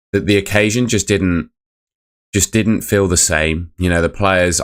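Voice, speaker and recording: A young man hosting a casual live football review, his voice croaky from shouting the night before, chatty and rambling as he reads out chat messages. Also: deep voice. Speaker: fan channel host.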